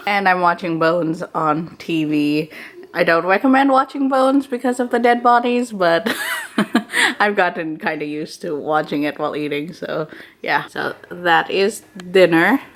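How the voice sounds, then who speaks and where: A woman's voice: fairly low pitch, fairly bright tone. A woman talking in a casual, chatty, informal way to her vlog at home. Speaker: vlogger. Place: indoors at home, living room and kitchen. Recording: noisy home camera audio.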